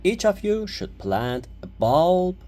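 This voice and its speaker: A storyteller plays a cheerful, literal-minded woman teacher in a children's storybook. She is folksy and earnest, easily puzzled, and speaks at an unhurried pace with animated pitch. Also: a little hiss or hum. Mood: folksy, businesslike.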